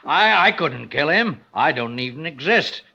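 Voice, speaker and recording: Cynical male villain for radio suspense: smug, menacing, higher-than-average pitch, muffled vintage sound. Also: expressive, a little slow, gravelly, bright, forceful tone, slightly rough, heavily acted. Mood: gloating menace. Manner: sneering, cynical, cocky. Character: smug, menacing male embezzler and killer with a gun. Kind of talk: old-time radio suspense acting. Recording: dull, noisy vintage radio recording.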